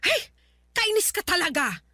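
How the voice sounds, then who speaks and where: A woman's voice: extremely high pitch, big pitch swings, moderate pace, pushed and bright, heavily acted. A woman playing a stubborn, chatty, querulous elderly mother in a Tagalog radio drama, with a Filipino accent. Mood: grudging, playful. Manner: querulous, bantering, indignant.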